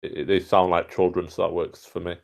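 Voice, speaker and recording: A man's voice: low voice, little pitch movement, fairly quick, gravelly. A man giving casual, somewhat rambling and hesitant feedback on a script in an online meeting. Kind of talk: casual spoken critique. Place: indoors on an online meeting call.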